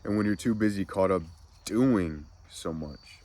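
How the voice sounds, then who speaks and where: A man hosting a soft-spoken spiritual ASMR talk, congested and nasal from a cold, musing slowly and reflectively. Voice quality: deep, gravelly. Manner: slow, meandering reflective musing. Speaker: ASMR spiritual channel host.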